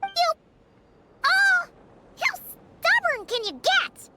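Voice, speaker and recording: A woman voicing a small, childlike, excitable floating fairy companion in a video game, with a squeaky, exclamatory delivery. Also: drawn-out words, slight background noise, exaggerated voice. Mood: worried, exasperated. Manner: exclamatory, blunt.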